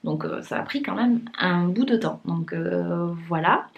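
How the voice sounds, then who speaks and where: A woman's voice: on the low side, animated, measured pace, creaky, lo-fi sound. A young woman talking casually to her vlog viewers, with many fillers and restarts and a blocked, congested nose. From France. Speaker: vlogger. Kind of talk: casual vlog chat to camera.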